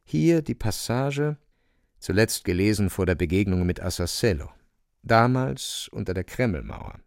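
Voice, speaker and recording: A man's voice: fairly low pitch, creaky, a little mellow, no echo, studio quality. A man narrating a literary radio play.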